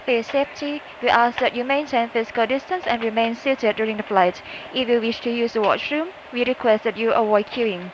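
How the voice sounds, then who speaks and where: High-pitched woman, noisy cabin announcement. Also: a little fast, few pauses. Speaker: flight attendant. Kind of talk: scripted inflight safety announcement. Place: aircraft cabin. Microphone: cabin PA system heard through speakers. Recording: muffled cabin PA with loud engine noise. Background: loud aircraft cabin rumble.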